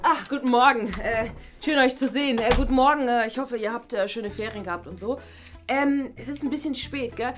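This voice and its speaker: A woman's voice: fairly high pitch, a little fast, background noise, natural voice. A woman voicing a scatterbrained, flustered female teacher who stumbles over her words in a toy play series. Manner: hesitant, full of "äh" and "ne?". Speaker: teacher figure Frau Bartsch.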